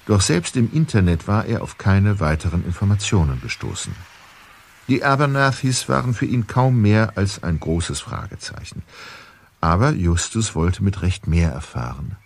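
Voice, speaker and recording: A man's voice: fairly low pitch, darkish tone. A man narrating a detective audio drama in a scripted storytelling style.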